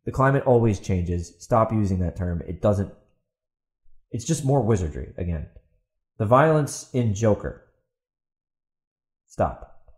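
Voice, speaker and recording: A man's voice: lowish voice, animated, unhurried, long pauses, darkish tone. A man hosting a solo podcast, ranting in a combative, exasperated and blunt way, repeating himself as he goes. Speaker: podcast host. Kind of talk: ranting solo commentary, partly reading out a post. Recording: roomy, echoey sound.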